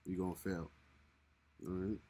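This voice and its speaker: A man's voice: sits low, level tone, moderate pace, warm tone. A young man co-hosting a casual livestream with his wife, rambling and repeating himself as he restarts his sentences. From Haiti. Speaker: co-host of a married couple's livestream.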